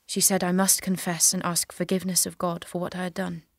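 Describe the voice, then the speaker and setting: A woman's voice: lowish voice, monotone, fairly quick, dry recording, clean studio sound. A woman playing a devout young captive maiden, earnest and direct, in an audio drama. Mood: subdued testimony. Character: devout, plain-spoken young French peasant warrior maiden held prisoner.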